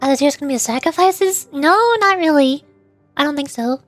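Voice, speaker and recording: A woman's voice: sits high, normal speed, faint music behind. A woman streamer giving chatty, rambling, casual commentary on a game. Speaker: streamer, VTuber.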